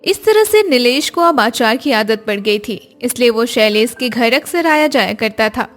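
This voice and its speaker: High-pitched woman narrating a story. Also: animated, normal speed, faint music behind.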